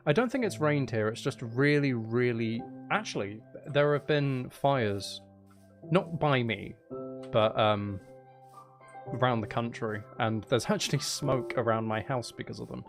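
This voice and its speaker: A man's voice: medium pitch, moderate pace, faint music behind. A man chatting casually as he hosts a live game stream, playfully mock-dramatic and self-deprecating with his viewers. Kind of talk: casual chatty gaming livestream talk with viewers.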